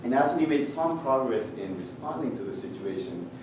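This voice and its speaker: A middle-aged Japanese man speaking English with a Japanese accent, giving a measured technical conference talk with frequent "uh" pauses and a touch of dry humor. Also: medium pitch, animated. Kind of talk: presentation talk with slides. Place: large conference hall. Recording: echoey hall picked up from far off with noise.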